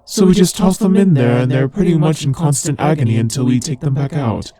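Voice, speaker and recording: A man's voice: fairly high pitch, a little fast, dark tone, close to an everyday voice. A man playing a teasing, sardonic demon ruler of hell in a scripted roleplay audio drama, with a conversational, theatrical delivery. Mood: matter-of-fact, menacing.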